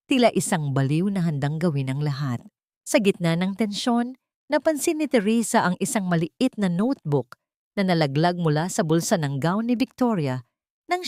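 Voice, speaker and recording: Low-voiced woman narrating drama. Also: darkish tone, no echo, studio quality. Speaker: audiobook narrator. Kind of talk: dramatic scripted story narration.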